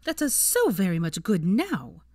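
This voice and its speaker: A woman voicing a sharp-tongued, indignant teenage girl witch, scornful and sarcastic, in an audiobook story. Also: mid-range voice, huge pitch swings, measured pace, no room sound, clean studio sound, exaggerated voice. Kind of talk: fan fiction audiobook character dialogue.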